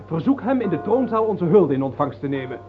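Imperious high-voiced scheming courtier. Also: male voice, sing-song, a little fast, faint music behind. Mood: triumphant, commanding. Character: scheming, imperious male nobleman seizing power at court. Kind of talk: theatrical historical radio drama. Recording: dull old radio play recording.

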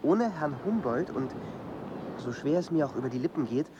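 A man's voice: on the high side, expressive, normal speed, dull audio, background noise, natural voice. An actor playing a resentful young man, the son of a famous father, in a radio play, sounding wry and complaining. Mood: bitter.

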